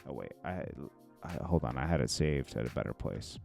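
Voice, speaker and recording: A man's voice: a bass voice, expressive, a little fast, vocal fry, music in the background. A man hosting a show with casual, opinionated gossip commentary, speaking in a blunt, slangy way. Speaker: show host.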